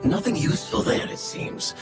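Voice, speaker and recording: A woman playing a careful, earnest, analytical young adventurer in a video game, explaining things in a thoughtful, measured way. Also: close to an everyday voice. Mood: focused. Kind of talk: video game cutscene acting. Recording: loud music under the voice. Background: loud music.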